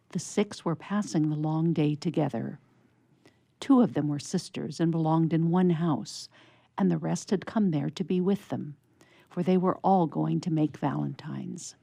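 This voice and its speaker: A woman narrator reading an old-fashioned story in a measured, expressive storybook style. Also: low voice, gravelly, no room sound.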